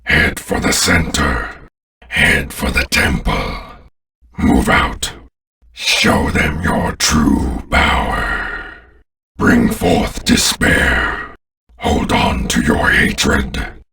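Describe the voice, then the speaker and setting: A man's voice: rumbling low, expressive, extremely slow, sharp tone, distant mic, full character voice. A man voicing an ancient, menacing alien overlord in a video game, with a growling, imperious and contemptuous delivery. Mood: commanding, menacing. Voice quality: gravelly, growling. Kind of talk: video game villain voice lines.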